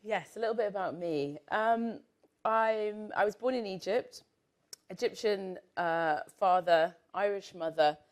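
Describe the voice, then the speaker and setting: A woman's voice: medium pitch, measured pace. A woman from northern England speaking as a guest on a live panel, chatty and self-deprecating, with laughing asides. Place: stage at a live podcast event.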